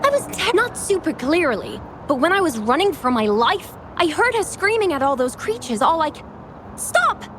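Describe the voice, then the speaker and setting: An actress playing a frightened young woman witness who stammers and rambles in halting bursts. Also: very high voice, sing-song, fairly bright tone, a little hiss or hum, full character voice. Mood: rambling. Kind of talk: video game voice acting.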